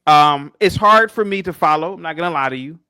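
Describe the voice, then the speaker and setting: A man's voice: on the high side, brisk, sharp tone, no room sound. A man in a casual live debate who interjects and repeats his phrases.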